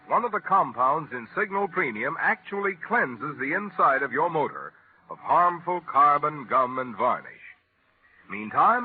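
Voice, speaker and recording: Male announcer, 1940s radio style, fairly high pitch, lively swings, narrow vintage broadcast sound.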